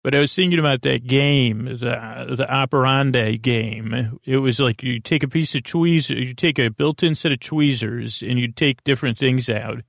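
A man hosting a bedtime podcast in a meandering, halting monologue, often drifting off his train of thought. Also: medium pitch, gravelly, a bit bright, dry recording, studio quality. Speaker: podcast host.

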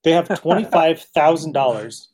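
Mellow-voiced man chatting casually. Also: on the high side, moderate pace, breathy edge. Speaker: podcast co-host. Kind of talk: casual chat reviewing old radio serials.

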